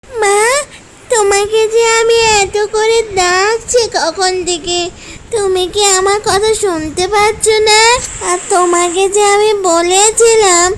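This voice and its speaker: A woman voicing an eager little girl in a children's cartoon, with a drawn-out, whiny, pleading delivery. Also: extremely high pitch, steady tone, a little hiss or hum. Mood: impatient. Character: eager little girl who adores mangoes. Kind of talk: children's cartoon story voice acting. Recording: loud background music.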